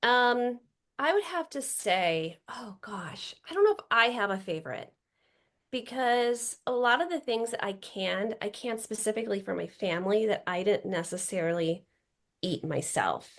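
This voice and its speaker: A woman's voice: medium pitch, expressive, normal speed, a bit bright. A woman in her forties, a podcast guest chatting casually, upbeat and talkative, often trailing off and restarting her thoughts. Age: 40s. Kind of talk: casual chat.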